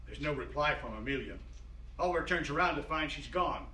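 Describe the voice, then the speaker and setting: A man's voice: a little high, expressive, a little fast, sharp tone. A man reading stage directions as scripted narration for a staged play reading. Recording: echoey room, distant mic, noisy.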